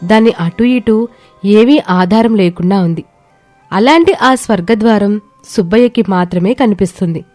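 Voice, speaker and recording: A woman's voice: medium pitch, normal speed, a little mellow, dry recording. Woman narrating a story over music. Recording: music bed behind the voice.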